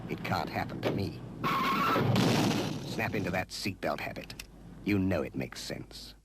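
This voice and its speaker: A man announces scripted safety advice in a fairly low voice. He is emphatic and persuasive, with animated pitch, over clearly audible noise. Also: measured pace.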